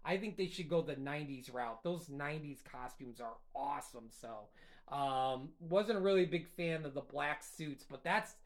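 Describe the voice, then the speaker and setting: Man, host, casual chat, rambling and excited, slightly high voice. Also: moderate pace. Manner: rambling and enthusiastic, many fillers. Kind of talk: casual solo chat about a cartoon rewatch.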